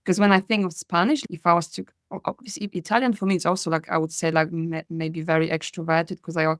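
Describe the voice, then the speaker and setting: A woman's voice: lowish voice, steady tone, quick pace, no echo, clean studio sound. A woman from Poland, hosting a podcast interview and asking long, chatty questions. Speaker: podcast host. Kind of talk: conversational interview.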